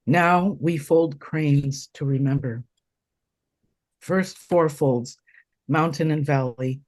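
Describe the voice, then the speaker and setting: A woman from Taiwan, hoarse from a cold, reading her poem slowly and deliberately over a video call. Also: sits low, dark tone, no echo. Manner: slow, deliberate recitation with pauses. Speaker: poet reading her work. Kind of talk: poetry reading.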